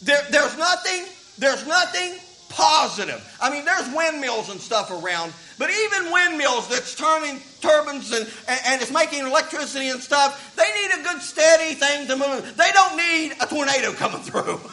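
A man's voice: very high-pitched, very animated, moderate pace, few pauses, pushed and bright, slight background noise, poor audio. A man, preaching a sermon in a folksy way that repeats words as he starts thoughts. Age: 50s. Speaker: pastor.

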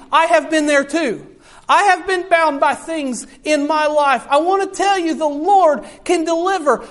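A man with a high voice preaching a sermon in an earnest, repetitive way, often calling out to his listeners.

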